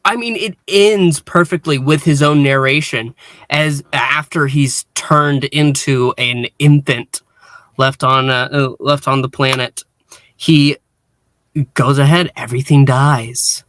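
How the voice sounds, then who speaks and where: A man hosting a casual review discussion, speaking in a halting way with repeated false starts. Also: mid-range voice, fairly even intonation, a bit bright, no room sound. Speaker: podcast host and reviewer.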